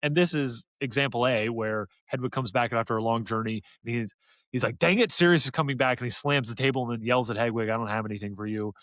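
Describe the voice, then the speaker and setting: A man's voice: medium pitch, quick pace, fairly bright tone, dry recording. Enthusiastic man chatting on a podcast. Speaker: podcast co-host reviewing an audiobook. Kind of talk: casual review chat.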